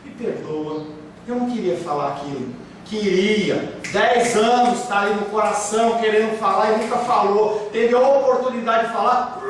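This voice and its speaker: High-voiced pastor in an echoey hall. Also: male voice. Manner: emphatic, rhetorical questions, acts out quoted excuses. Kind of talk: sermon. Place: church hall. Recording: very echoey room, speaker far from mic, faint hiss.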